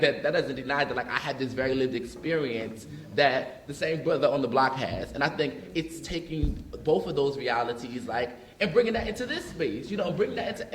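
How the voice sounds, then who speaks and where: A man speaking on a panel in an echoing room, his voice a touch high, animated and full of fillers like "you know what I mean". An audience murmurs and reacts around him. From North Philadelphia. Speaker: panelist, educator. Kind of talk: panel discussion, casual conversational.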